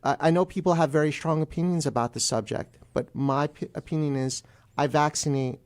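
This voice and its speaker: A man's voice: a little high, fairly quick. A man being interviewed live on radio as a guest attorney, speaking carefully and qualifying his opinions.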